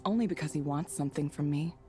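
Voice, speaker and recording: Woman acting reserved, low-voiced, understated woman. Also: fast talker, dark tone, lightly acted. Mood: guarded, apologetic. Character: reserved, modest, guarded woman, former tech researcher. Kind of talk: naturalistic visual novel acting. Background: game music.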